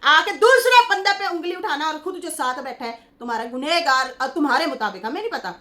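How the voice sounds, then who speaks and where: A woman, talking as a commentary vlogger in an animated, scolding way and retelling what others said. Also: sits high, fairly quick.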